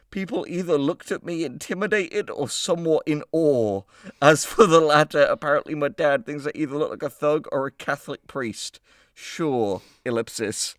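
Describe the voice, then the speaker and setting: A man's voice: on the high side, no echo, studio quality. A man hosting a casual comedy podcast, bantering and reading posts aloud in a sweary, mock-exasperated way. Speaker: podcast host.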